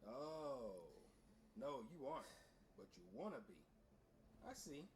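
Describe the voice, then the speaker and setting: A man's voice: medium pitch, very animated, slow, a little hiss or hum. A man playing a blunt, laid-back teenage boy who teases in slangy audio drama dialogue. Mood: teasing. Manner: slangy, needling.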